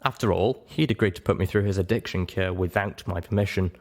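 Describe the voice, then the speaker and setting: A man narrating a story in the first person with an expressive, theatrical, dramatic delivery. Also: deep voice, a little fast. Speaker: audiobook narrator.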